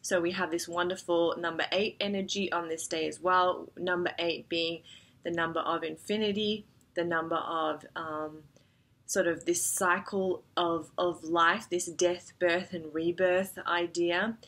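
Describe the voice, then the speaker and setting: A woman hosting a weekly astrology forecast, sounding nasal from a cold, talking in a gentle, rambling way with many fillers. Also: fairly low pitch, steady tone, unhurried. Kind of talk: casual spiritual forecast talk.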